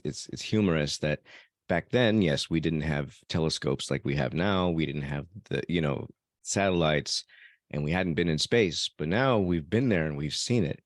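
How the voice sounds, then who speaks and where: A man with an exceptionally deep voice, recorded dry with little room sound. He talks reflectively as a podcast guest, choosing words thoughtfully and pausing within phrases. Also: dry recording. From born in El Salvador, raised elsewhere by adoptive parents. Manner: measured, thoughtful, pauses mid-phrase. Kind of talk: reflective personal conversation.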